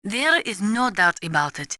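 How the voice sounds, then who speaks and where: A woman's voice: mid-range voice, big pitch swings, normal speed, bright, forceful tone, no echo, clean studio sound. A woman playing an earnest, articulate nuclear weapons analyst in a spy video game, explaining in a precise, formal, lecturing manner. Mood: grave, explanatory. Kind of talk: scripted expository video game dialogue.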